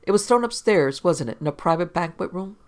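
A man's voice: sits high, animated, brisk, clean tone. A man voicing a hard-boiled, impatient private investigator with curt, snapping demands in a pulp detective audiobook. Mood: confrontational.

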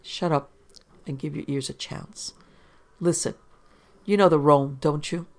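A man's voice: on the high side, fairly even intonation, a little slow, vocal fry. A man voicing a hard-boiled, impatient private investigator with curt, snapping demands in a pulp detective audiobook. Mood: exasperated, insistent.

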